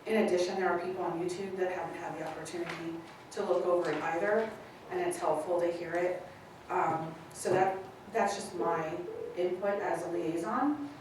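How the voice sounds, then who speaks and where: A woman giving polite, measured, formal remarks as a liaison at a public meeting. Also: on the low side, a little mellow. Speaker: committee liaison. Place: indoor public meeting room. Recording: distant mic, very echoey noisy meeting room.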